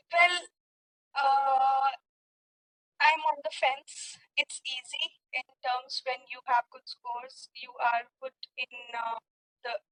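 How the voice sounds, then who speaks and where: A young woman with an Indian accent answering English speaking test questions in a hesitant way, often pausing mid-phrase. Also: sits high, fairly bright tone, no room sound, low-quality recording. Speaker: student in a spoken English practice test.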